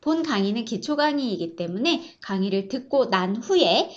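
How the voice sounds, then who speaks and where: Woman, online instructor, high-pitched, step-by-step explanatory narration, polite, slightly muffled lo-fi recording. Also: animated, normal speed.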